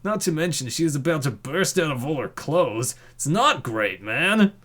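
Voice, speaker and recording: A man's voice: on the high side, lively intonation, moderate pace, a bit bright. Man acting a disapproving young male coworker. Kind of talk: amateur comic dub acting.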